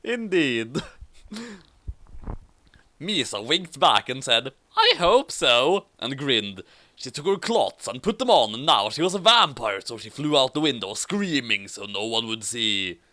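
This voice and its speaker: A man reads a silly fanfiction aloud in a mock-dramatic manner. His voice is bright and expressive, with a close, dry sound. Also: medium pitch, measured pace, no echo. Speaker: story reader. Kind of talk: comedic fanfiction read-aloud with asides.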